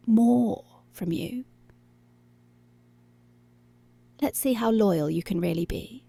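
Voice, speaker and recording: A woman's voice: medium pitch, mellow, no echo, full character voice. A woman playing a dominant, teasing policewoman in an intimate, half-whispered ASMR roleplay. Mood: commanding, teasing. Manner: slow, breathy half-whispered, possessive teasing.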